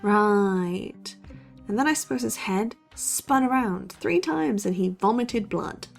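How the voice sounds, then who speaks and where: A woman's voice: medium pitch, animated, slow pace, gravelly, soft background music. A woman playing a sceptical, dry-witted young student podcaster in an audio drama. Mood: sardonic. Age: young adult. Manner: sardonic, dry. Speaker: podcast co-host character. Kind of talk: conversational audio drama acting.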